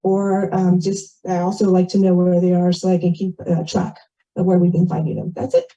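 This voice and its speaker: A woman's voice: fairly low pitch, completely flat delivery, moderate pace, dark tone. An American woman giving an informal, chatty and rambling report at a community meeting into a small microphone, in muffled audio. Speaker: committee member and volunteer organizer. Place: community meeting, shared over video call. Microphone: small microphone passed between speakers.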